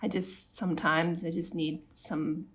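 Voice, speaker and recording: A woman's voice: on the low side, little pitch movement, measured pace, a bit bright. A woman in casual podcast chat, rambling and going off on tangents. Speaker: podcast co-host.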